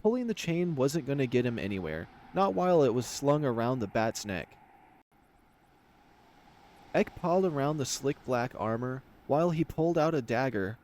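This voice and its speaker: A man's voice: medium pitch, darkish tone. Man reading a visual novel aloud. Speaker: video game let's player.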